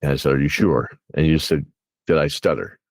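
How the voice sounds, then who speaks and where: A man's voice: very deep voice, a little fast, dry recording. A man hosting an interview in a casual, jokey way, chuckling as he talks like a game master among friends. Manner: jokey, chuckles while talking. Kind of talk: casual bantering interview chat.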